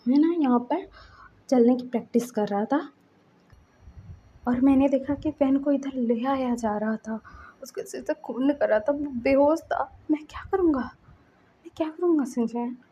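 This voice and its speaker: A woman voicing a distraught, helpless older brother in a story, halting and anxiously repeating himself. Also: high-pitched, warm tone, muffled recording, slight background noise, natural voice.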